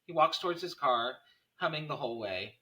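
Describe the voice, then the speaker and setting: A man's voice: a little high, animated, a little fast, pushed and bright. A man reading scene headings and stage directions as narrator at a script table read.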